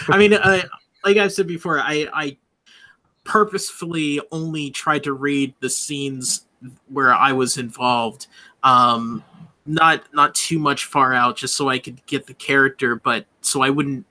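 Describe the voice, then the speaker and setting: Very sharp, bright-voiced man chatting. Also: medium pitch. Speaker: voice cast member. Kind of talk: casual chat.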